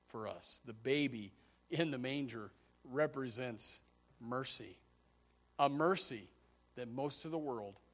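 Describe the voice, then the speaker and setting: A man in his forties preaching a sermon to a congregation, swinging from loud emphatic peaks to low quiet passages and repeating key phrases. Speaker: pastor. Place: church service before a congregation.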